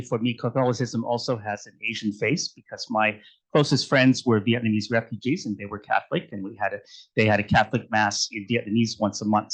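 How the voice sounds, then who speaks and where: A man speaking as a history professor on a panel, relaxed and chatty, with frequent hesitations and the odd throat clearing. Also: fairly low pitch, low-quality recording. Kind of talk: casual academic panel talk.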